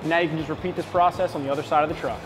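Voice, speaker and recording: A man in his thirties walking through an install step by step in a bright indoor garage. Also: fairly high pitch, very fast, music in the background, poor audio. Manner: steady step-by-step instruction. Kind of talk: how-to install walkthrough.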